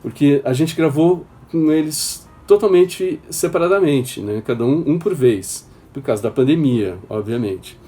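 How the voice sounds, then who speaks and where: Brief: male director in an interview, calm, warm and husky voice. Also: on the high side, measured pace, hardly any pauses. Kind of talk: interview talk.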